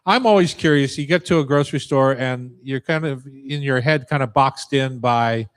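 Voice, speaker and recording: A man of middle pitch hosts a radio talk show. He asks a guest chatty, curious questions in a relaxed conversational way. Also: moderate pace, clear, resonant tone. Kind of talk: radio interview.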